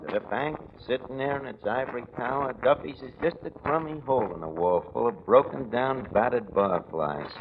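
An actor in an old radio comedy playing a scheming tavern manager with a New York accent, pompously mangling big words. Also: male voice, medium pitch, normal speed, hardly any pauses, natural voice. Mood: wistful, philosophical. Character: scheming, malapropism-spouting male manager of a shabby tavern. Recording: noisy, dull old radio recording.

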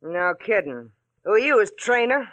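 A woman's voice: mid-range voice, very animated, moderate pace, a bit bright, highs cut off. An actress playing a tough, sarcastic small-town woman in an old-time radio drama. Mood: tough, teasing. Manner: wisecracking, tough.

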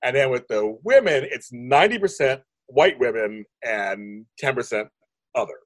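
Brief: man, 50s, deep and husky, rapid emphatic interview guest, dry close sound. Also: expressive, no room sound. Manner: rambling, restarts sentences, emphatic. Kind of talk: animated conversational interview.

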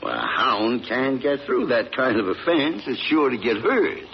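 Folksy rural father, wildly swooping pitch. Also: very animated, moderate pace, gravelly. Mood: earnest. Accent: rural American. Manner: folksy, plain-spoken. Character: proud, protective country father, devoted hound owner. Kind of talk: old-time American radio drama acting. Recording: muffled, noisy old radio recording.

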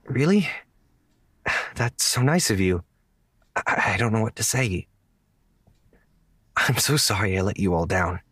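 A man playing an earnest, apologetic young man who speaks haltingly in an audio drama. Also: fairly low pitch, expressive, fairly bright tone, slightly rough, heavily acted.

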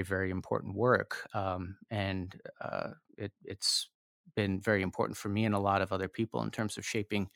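Brief: low-pitched male scholar, thinking aloud, halting and searching, dry close sound. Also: fairly bright tone, no room sound. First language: not Japanese; learned Japanese as foreign language. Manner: hesitant, many fillers and restarts. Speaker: author guest. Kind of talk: academic interview answer.

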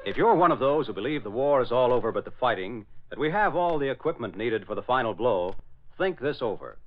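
Male 1940s announcer, American accent, mid-pitched, lively intonation, dull radio sound.

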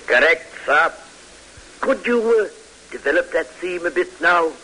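Sickly old professor, bright high-ish voice, hesitant, pompous, vintage radio hiss. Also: male voice, expressive, a little slow, rough recording. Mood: pompous, dry. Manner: hesitant, coughing, pompous old-fashioned lecturing. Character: frail, fussy, sickly older male military professor. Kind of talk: 1940s-50s radio drama acting. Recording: hissy vintage radio recording.

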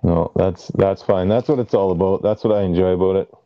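Brief: low-pitched laid-back man from Canada, agreeable, muffled lo-fi sound. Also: brisk, a little hiss or hum. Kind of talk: casual chat. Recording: dull lo-fi audio.

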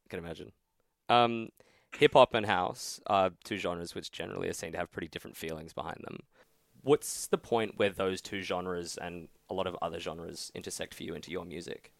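A man hosting a podcast, asking questions in a relaxed way and trailing off softly at the end of his sentences. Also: sits low, a little fast, vocal fry, dry recording, clean studio sound. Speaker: podcast host and interviewer. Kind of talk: casual interview questions and sponsor read.

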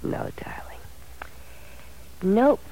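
A dreamy young wife, mellow. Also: female voice, very slow, whispered, poor audio. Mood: musing. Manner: musing, wistful. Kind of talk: 1940s radio acting.

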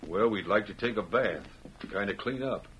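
An actor playing a sly outlaw prisoner who talks with folksy, humble politeness in a radio western. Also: male voice, lowish voice, moderate pace, a bit bright, close to an everyday voice. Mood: falsely humble. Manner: folksy, ingratiating. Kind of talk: old radio western acting. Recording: muffled, noisy old radio recording.

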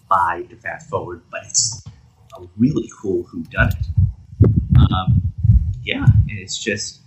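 A man co-hosting a podcast in casual discussion, talking haltingly and rephrasing his thoughts as he goes. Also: on the low side, fairly bright tone. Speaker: podcast co-host. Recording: clearly noisy background with clicks.

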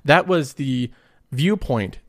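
A man in his thirties with a voice worn by a cold, hosting a personal finance podcast in a relaxed, conversational way with restarts and asides. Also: medium pitch, takes it slowly, no echo, clean studio sound. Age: 30s. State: hoarse from a cold. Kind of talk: casual solo talk.

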